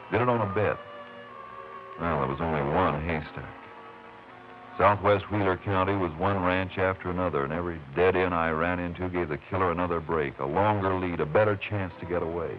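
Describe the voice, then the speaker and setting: An American actor playing a steady, dogged Texas Ranger in a 1950s radio western, speaking in a dry, plain, folksy way. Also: male voice, low voice, a little fast, music in the background, natural voice. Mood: measured, determined. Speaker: lead actor and narrator. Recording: muffled, noisy 1950s radio recording.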